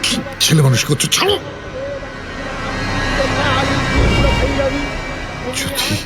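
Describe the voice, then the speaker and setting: An actor playing an uneasy newlywed husband in a horror audio drama. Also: male voice, very high-pitched, huge pitch swings, very fast, over loud music, exaggerated voice. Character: newlywed husband, bank employee, uneasy in new house.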